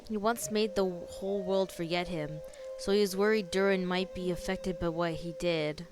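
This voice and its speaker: A woman's voice: fairly low pitch, fairly even intonation, moderate pace, music in the background. A woman streamer giving casual live reaction commentary while playing a game.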